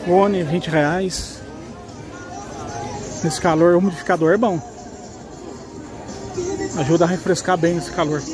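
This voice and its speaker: Higher-pitched Brazilian man, casual market vlogger. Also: fairly even intonation, a little slow, pauses often, over music. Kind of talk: casual walk-through commentary on market prices. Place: outdoor covered street market. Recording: busy street crowd behind the voice.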